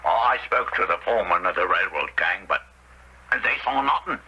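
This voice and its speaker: An actor playing a respectful railway station master in a radio drama, deferential and tentative. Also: male voice, deep voice, expressive, normal speed, creaky, sharp tone, heavily acted. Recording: dull, faintly noisy old radio recording.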